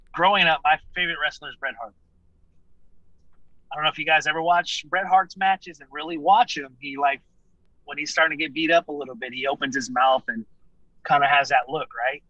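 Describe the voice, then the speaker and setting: A man's voice: fairly high pitch, sharp tone, no echo, highs cut off. A man in his late thirties, a wrestler guest on a podcast, chatting casually and laughing as he tells stories. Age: 30s. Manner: laid-back, laughing, profane. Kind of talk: casual chat.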